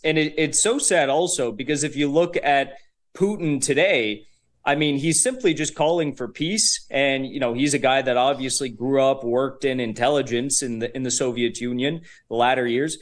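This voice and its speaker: Casual, emphatic young male commentator. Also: mid-range voice. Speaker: guest commentator. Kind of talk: political commentary.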